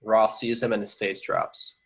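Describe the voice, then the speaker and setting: Lowish-voiced man reading stage directions. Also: level tone, a little slow, a bit bright, husky. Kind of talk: scripted narration at an amateur table read.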